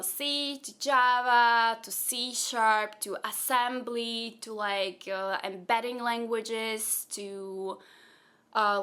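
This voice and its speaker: A woman's voice: on the high side, pushed and bright. A young woman from Slovakia, whose first language is Slovak, answers viewer questions in a casual vlog, chatty and thinking aloud with plenty of fillers. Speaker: software engineer and content creator.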